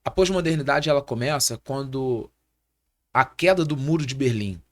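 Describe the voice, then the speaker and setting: A man's voice: mid-range voice, normal speed. A man in his thirties with a Brazilian Portuguese accent, a pastor speaking as a podcast guest in a didactic, sermon-like way, repeating key points for emphasis. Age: 30s. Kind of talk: podcast interview with sermon-like teaching.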